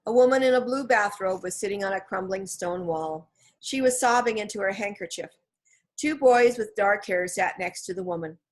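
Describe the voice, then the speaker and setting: A woman narrates a children's chapter book at a medium pitch. Her reading is scripted and expressive, in the manner of an audiobook. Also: moderate pace. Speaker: audiobook reader.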